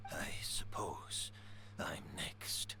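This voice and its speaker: An actor playing a gentle, resigned scholarly master in a video game, weary and breathy, often near a whisper. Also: male voice. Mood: resigned, melancholy.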